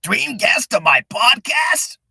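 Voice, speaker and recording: A man's voice: extremely high pitch, sing-song, slow, gravelly, bright, forceful tone, husky, dry recording. A man hosting a casual podcast chat, rambling and often repeating and restarting his phrases. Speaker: podcast host.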